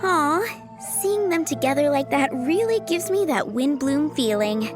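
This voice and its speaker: A woman voicing an expressive, sentimental young townswoman in a video game. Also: sits high, measured pace, music in the background. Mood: wistful, warm.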